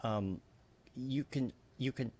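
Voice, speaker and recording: A man's voice: mid-range voice, slow pace, a little mellow. A man co-hosting a podcast, chatting casually and thinking aloud as he talks. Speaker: podcast co-host. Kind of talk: casual chat.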